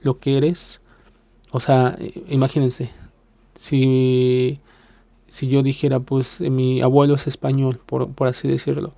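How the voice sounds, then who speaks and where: A man's voice: medium pitch, completely flat delivery, a little slow, vocal fry, warm tone, no echo. A Mexican man with a Mexican accent, hosting a casual reaction video, talking hesitantly with many fillers and playing down his own opinion. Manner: hesitant, lots of filler sounds and restarts; self-deprecating, hedges his opinions.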